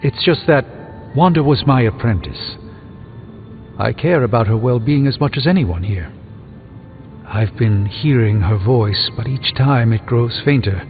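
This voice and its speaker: A man's voice: mid-range voice, measured pace, close to an everyday voice. Guy voicing proud wry wizard superhero in a game, pompous formal talk, tinny sound w music under it. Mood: grave, concerned. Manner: pompous, wordy, theatrical formal diction. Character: pompous, erudite adult male sorcerer superhero, proud and wry. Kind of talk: video game character dialogue. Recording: thin band-limited game audio with music behind.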